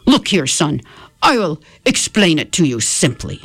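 A woman voicing a blustering middle-aged father who shouts and lectures. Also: low voice, big pitch swings, unhurried, husky, exaggerated voice. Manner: bellowing, lecturing.

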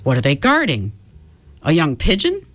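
A woman's voice: low-pitched, huge pitch swings, normal speed, full character voice. A woman voicing a grumpy, scornful husband in a storybook, speaking bluntly and scoffing. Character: grumpy, scornful, stiff husband Mister Dullard.

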